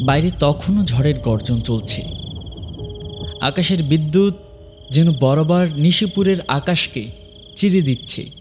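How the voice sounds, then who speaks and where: A man narrating a scripted ghost story in a suspenseful, measured way with dramatic pauses. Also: on the high side, lively intonation, background noise.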